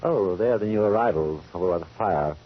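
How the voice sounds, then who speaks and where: A man playing a fussy, affectionate gentleman sidekick, speaking in a florid, arch, affectedly genteel way in 1940s radio style. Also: sits low, fast talker, close to an everyday voice. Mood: languid. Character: fussy, theatrical, affectionate gentleman best friend of detective. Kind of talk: 1940s radio comedy-drama acting. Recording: dull, hissy 1940s radio recording.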